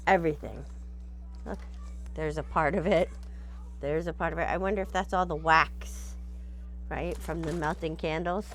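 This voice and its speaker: A woman's voice: low-pitched, fairly even intonation, moderate pace, pauses often, a bit bright, slight background noise. A woman reading a picture book aloud expressively, with explanatory asides, checking in with her listeners as she goes.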